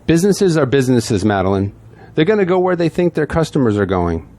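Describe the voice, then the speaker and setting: A man's voice: medium pitch, sing-song, brisk, dark tone, no room sound. A man playing a beleaguered, pragmatic veteran politician in an audio drama, speaking in an emphatic, world-weary way. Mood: resigned, calculating.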